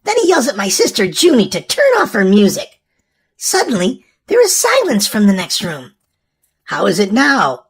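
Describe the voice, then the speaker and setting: Female children's storyteller: high voice, hugely varied intonation, expressive scripted read-aloud. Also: normal speed. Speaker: storyteller for a children's book channel.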